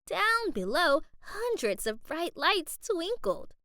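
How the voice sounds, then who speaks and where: A woman narrating a children's picture book aloud in an expressive, carefully paced storytime style. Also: extremely high pitch, takes it slowly, no echo, clean studio sound.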